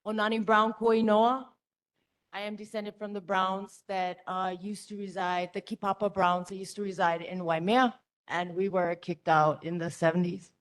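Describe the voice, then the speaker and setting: A woman testifying at a public meeting, emotional and blunt, with a naturally loud voice. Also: medium pitch, little pitch movement, normal speed. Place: community meeting room.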